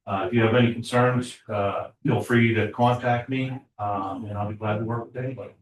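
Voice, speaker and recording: A low-voiced man reports to a small borough council, stumbling through fillers and false starts. He sits well away from the microphone. The room is echoey, with a faint hiss underneath. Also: fairly even intonation. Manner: halting, many filler uhs and repeated starts. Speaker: code enforcement officer. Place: municipal council chamber. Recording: echoey chamber audio from far off.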